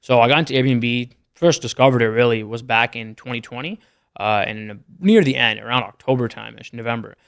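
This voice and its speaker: Young guy from canada, talks quick, clear smooth voice, just listing off numbers. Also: medium pitch, a bit bright. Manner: matter-of-fact, rattles off numbers. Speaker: guest, entrepreneur. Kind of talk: casual interview chat.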